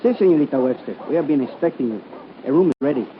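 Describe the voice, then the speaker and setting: A tinny vintage radio recording carries an actor as a deferential Mexican male hotel clerk. He speaks quickly and politely with a bouncy, animated pitch, in old suspense-drama style. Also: a little hiss or hum, lightly acted. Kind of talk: 1950s radio suspense acting.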